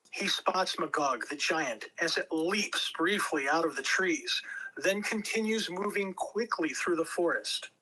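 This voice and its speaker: A man's voice: high voice, sharp tone, rough recording. A man reading stage directions as the narrator at a table read of a fantasy script.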